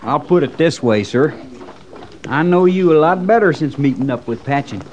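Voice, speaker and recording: Actor as eager high-voiced cavalry trooper. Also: male voice, lively intonation, normal speed, darkish tone, natural voice. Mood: admiring. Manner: folksy, eager, rambling. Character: eager, admiring, folksy male cavalry trooper. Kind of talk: 1950s radio western acting. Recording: dull, faintly noisy old radio recording.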